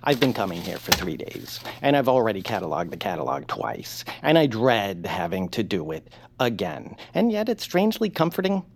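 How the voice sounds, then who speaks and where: A man's voice: on the low side, huge pitch swings, moderate pace, vocal fry, few pauses, slight background noise, heavily acted. A man voicing a fussy, anxious, bookish peepkin in a fretful, theatrical way for an audio comedy. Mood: fussy, indignant. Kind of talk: audio fiction comedy acting.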